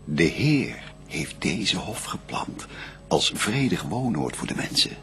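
Devout first man and adoring bridegroom, low gravelly voice, lyrical sweeping declamation, solemn verse radio play. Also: big pitch swings, slow pace, a bit bright, soft background music, full character voice. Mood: reverent.

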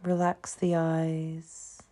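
A woman leading a guided meditation in a soothing, hushed manner, leaving long pauses between phrases. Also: low-pitched, monotone. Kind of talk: guided meditation, slow scripted narration.

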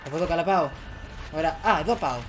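A young man, giving casual let's play commentary in an excitable way, talking to himself and repeating words rapidly. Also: fairly high pitch, over music, poor audio. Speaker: gaming YouTuber. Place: small room at home, indoors. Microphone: headset on, desktop USB condenser microphone. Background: horror game soundtrack and effects.

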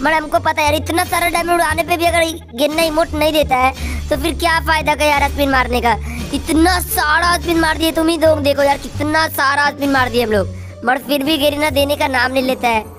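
A woman's voice: steady tone, fairly quick, fairly bright tone. A woman's voice run through a voice changer, hosting casual gameplay commentary, chatty and repeating phrases. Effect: voice changer raising the pitch. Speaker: gaming video host. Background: background music.

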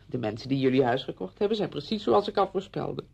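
A creaky-voiced, rather low-pitched chatty woman for a radio play. She is brisk, opinionated and insistent, a well-meaning friend who meddles, and her intonation swings widely. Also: lively intonation, darkish tone, heavily acted. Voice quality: husky, creaky. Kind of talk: Dutch radio play acting.

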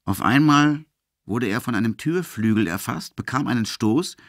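A man's voice: medium pitch, animated, normal speed, no room sound, clean studio sound. A man reading scripted literary narration as the narrator of a radio play.

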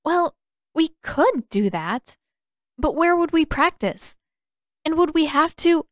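Woman reader as determined, guarded teen girl, audiobook dialogue, higher register. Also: lively intonation, slow, no room sound, studio quality. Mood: questioning. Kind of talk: character dialogue in audiobook narration.

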